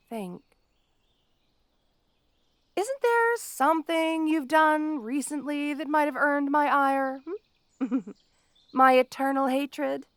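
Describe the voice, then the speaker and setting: A woman playing a petty, obsessed female supervillain in an audio roleplay, theatrical and taunting, with mock-sweet, drawn-out words. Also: sits high, no room sound, studio quality, heavily acted. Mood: menacing, needling. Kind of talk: scripted audio roleplay addressed to the listener.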